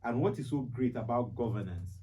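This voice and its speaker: Nigerian man, talk show host, warm mellow timbre, middle pitch, conversational and a bit halting. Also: lively intonation, poor audio. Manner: halting, repeats phrases for emphasis.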